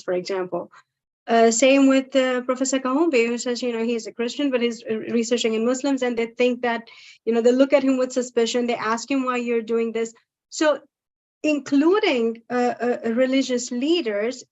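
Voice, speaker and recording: Woman, native Swahili speaker, East African, higher pitch, explanatory, self-interrupting, dull recording. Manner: frequent "you know", explanatory, self-interrupting. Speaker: academic meeting participant. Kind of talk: unscripted formal discussion. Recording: dull, lo-fi audio.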